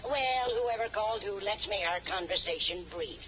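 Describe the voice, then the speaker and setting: A woman's voice: medium pitch, animated, normal speed, natural voice. An actress playing a dotty, absent-minded, kindly older woman in an old radio sitcom, vague and fluttery in manner. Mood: vague, cheerful. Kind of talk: 1950s radio situation comedy acting. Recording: muffled old radio recording, audience behind.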